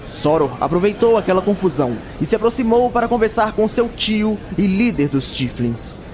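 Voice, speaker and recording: A man's voice: sits high, very animated, moderate pace, darkish tone. A man narrating a scripted fantasy audio drama as its storyteller. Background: music.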